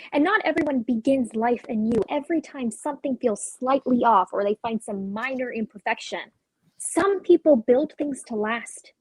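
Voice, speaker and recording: A woman's voice: on the high side, normal speed, a little mellow, a little hiss or hum, lightly acted, rough recording. A woman playing a guarded, conflicted sister, earnest and defensive, in a dramatic play reading.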